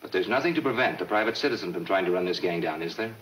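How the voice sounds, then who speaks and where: Low-voiced, fast-talking 1940s serial detective. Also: male voice, expressive, fairly bright tone, slight background noise, rough recording. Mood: determined. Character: shrewd, determined male police detective running a secret plan. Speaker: film actor. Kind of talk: 1940s movie serial acting. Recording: old 1940s film soundtrack, music behind.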